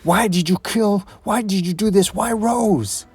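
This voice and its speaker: A man performs a grieving older assassin from a comic book, gravelly and husky. He is brooding and menacing, an explosive, vengeful father with anguish in his voice. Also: medium pitch, moderate pace, darkish tone, no echo, natural voice. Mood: anguished rage. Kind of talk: dramatic comic-book reading.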